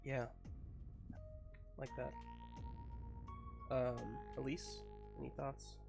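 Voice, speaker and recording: A game master improvising the narration of a tabletop game in a halting, searching way. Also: medium pitch, little pitch movement, gravelly, darkish tone, faint music behind.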